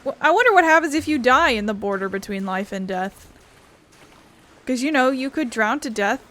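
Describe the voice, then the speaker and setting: A woman's voice: a little high, fairly bright tone. A woman giving casual let's-play commentary, grumbling bluntly and swearing as she plays. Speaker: gaming video host. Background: game music.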